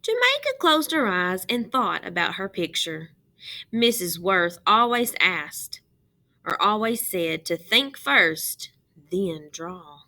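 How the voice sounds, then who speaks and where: A woman teacher reads a children's book aloud in a bright, forceful voice with noticeable creak. She goes slowly, stopping to explain things simply and put questions to young listeners. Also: mid-range voice, sing-song.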